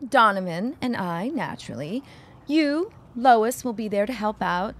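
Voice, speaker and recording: A woman's voice: medium pitch, expressive, a little slow. A woman playing a poised, guarded bride-to-be in a period audio drama, speaking formally with dry wit.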